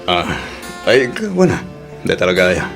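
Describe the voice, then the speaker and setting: A man's voice: low voice, sing-song, measured pace, creaky, over music, exaggerated voice. A man acting an evasive, hesitant married man in an Ilocano audio drama, with a Filipino accent. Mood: evasive, uneasy.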